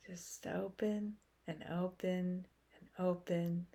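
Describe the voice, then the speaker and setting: A woman leading a guided meditation in a soothing, unhurried way, with long pauses between short phrases. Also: on the low side, level tone, mellow.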